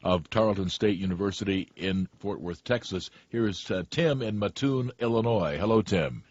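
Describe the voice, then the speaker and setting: A man hosting a call-in radio talk show, speaking in a dry, opinionated, measured way. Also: fairly low pitch, a little mellow, husky, no room sound. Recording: dull dry studio radio sound.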